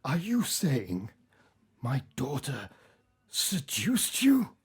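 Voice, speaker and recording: A woman's voice: very deep voice, huge pitch swings, drawn-out words, slightly rough, heavily acted. A woman acting in an audio play as a strict, indignant middle-aged mother, scolding and sarcastic. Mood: stern. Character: strict, indignant mother of a runaway teenage daughter.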